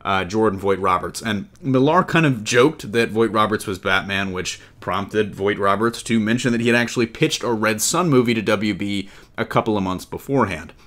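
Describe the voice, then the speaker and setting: A man's voice: lowish voice, moderate pace, fairly bright tone. A man hosting a casual entertainment news discussion, talking in a conversational, joking way. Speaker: podcast host.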